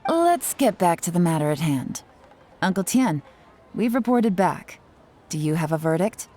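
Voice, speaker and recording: A composed young female investigator in a video game. She has a fairly low, mellow voice. Her delivery is direct and businesslike, with a dry edge, over faint music. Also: big pitch swings, unhurried. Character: composed, businesslike young woman investigator, dryly teasing elders. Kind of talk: video game dialogue acting.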